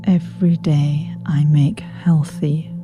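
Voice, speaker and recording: Slow, low-pitched woman guiding meditation. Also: level tone. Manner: soothing, unhurried, spaced-out phrases with repetition. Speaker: meditation guide. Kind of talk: guided meditation with spoken affirmations. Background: meditation music with singing bowl.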